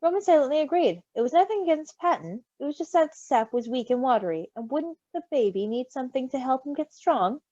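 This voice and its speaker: A woman reading a story in an animated way, with giggly, casual asides. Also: high-pitched, fairly quick, few pauses, no room sound. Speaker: story reader. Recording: slightly dull, close dry recording.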